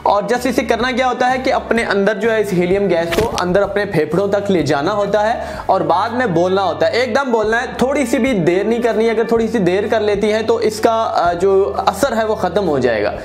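A man's voice: high-pitched, normal speed, hardly any pauses. A man in his twenties from Pakistan with a Pakistani accent, chatting casually and enthusiastically to camera as a video host, often repeating himself. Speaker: video host doing experiments. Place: indoors, plain-walled room. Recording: music in the background. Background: music.

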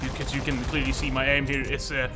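A man's voice: medium pitch, flat delivery, quick pace, music in the background. A young man, a gaming YouTuber, making a jokey, mock-boastful sponsor plug that rambles on. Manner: jokey, self-aggrandizing, rambling.

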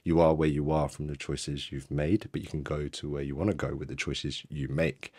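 A man's voice: a bass voice, little pitch movement, normal speed, a little mellow, slightly rough, no room sound, studio quality. A man in his thirties with a British accent, a guest on a podcast, telling his story in an earnest, reflective way over a video call. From United Kingdom. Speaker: guest trader. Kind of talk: interview storytelling. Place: home room. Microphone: boom-arm mic on a video call.

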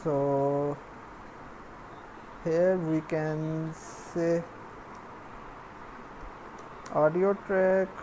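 A woman giving a step-by-step programming tutorial, dictating in a halting, piecemeal way as she works. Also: deep voice, fairly even intonation, mellow, a little hiss or hum, low-quality recording. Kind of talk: step-by-step screencast tutorial, spoken while typing.

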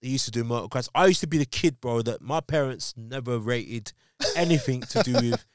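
Male guest, laid-back storyteller, smooth clear voice, dry studio mic. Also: medium pitch, fairly quick, no room sound. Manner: laid-back storytelling, animated when excited. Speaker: podcast guest. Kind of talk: casual chat. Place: podcast studio. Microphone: boom-arm studio mic.

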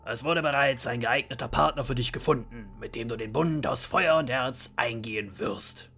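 A man playing a stern, unyielding dwarven father in a fantasy audio drama, speaking formally and with authority in a raspy voice. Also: mid-range voice, normal speed, bright, forceful tone, faint music behind, full character voice. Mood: formal, firm.

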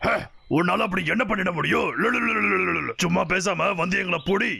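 A man's voice: high voice, steady tone, fairly quick, creaky, slight background noise, heavily acted. A man voicing a cheeky, taunting elder-brother bear with a teasing, mocking manner in a dubbed cartoon comedy. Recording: loud cartoon music behind the voice.

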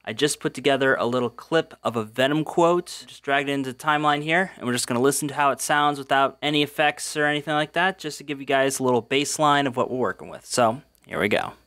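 Casting: male tutorial host, mid-pitched, informal step-by-step narration, close dry mic. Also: quick pace, hardly any pauses, a bit bright, dry recording. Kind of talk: casual instructional voice-over.

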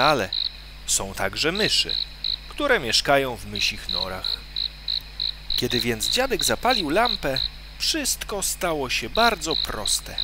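A man narrating a scripted children's story in a theatrical way, with suspenseful emphasis and pauses. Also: mid-range voice, heavy background noise.

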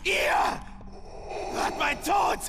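A man playing a young, defiant, brooding dark apprentice in a video game, speaking in terse, intense phrases. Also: drawn-out words, very noisy. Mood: anguished, accusing. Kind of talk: dramatic game dubbing. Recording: loud music over the voice.

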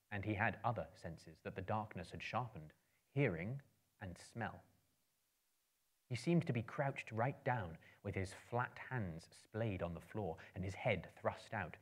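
A man in his thirties giving a theatrical, suspenseful narration of a storybook in measured phrases. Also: fairly low pitch, husky. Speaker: narrator, dramatic reader. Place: indoor book-lined room, live audience.

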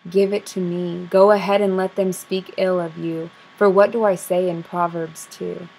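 A young woman recites spoken word poetry in a soft, intimate, rhythmic rhyming delivery, recorded on a close webcam in a small dim room. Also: lowish voice, level tone, moderate pace, creaky, slightly rough, poor audio. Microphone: webcam at close range.

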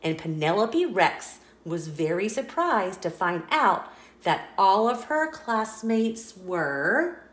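Expressive woman reading a picture book aloud. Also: medium pitch, slow. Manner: expressive, playful storytelling. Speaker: storybook reader. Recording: roomy echo.

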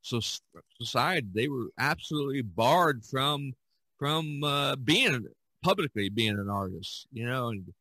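A man in his sixties hosting a casual podcast conversation, speaking haltingly and often repeating phrases. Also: mid-range voice, animated, bright, forceful tone, breathy edge, no room sound. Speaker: podcast host.

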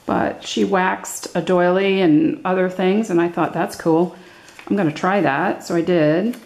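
Older woman with a creaky, slow voice. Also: lowish voice, steady tone, a little mellow. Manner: halting, thinking aloud. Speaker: craft vlogger showing her handmade journals. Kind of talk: casual, unscripted show-and-tell. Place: indoors at a table, hands-only overhead camera.

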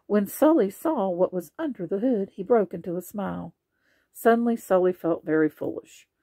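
A woman reads a children's storybook aloud with expression. Her voice is husky and gravelly, and its pitch moves around in a lively way. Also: moderate pace, no echo. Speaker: storybook reader.

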